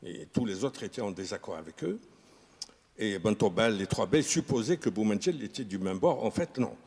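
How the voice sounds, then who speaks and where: A man with a husky, slightly low voice talks through historical detail in an unscripted discussion. He hesitates often and restarts his sentences. The sound carries a long reverberant tail. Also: expressive, darkish tone. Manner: halting, many fillers and restarts. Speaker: historian guest. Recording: reverberant room.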